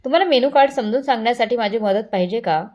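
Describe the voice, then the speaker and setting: A woman's voice: a little high, quick pace, a little mellow, natural voice. A woman voicing a boastful, condescending wealthy mother-in-law with showy, patronizing politeness, in a family story. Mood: haughty.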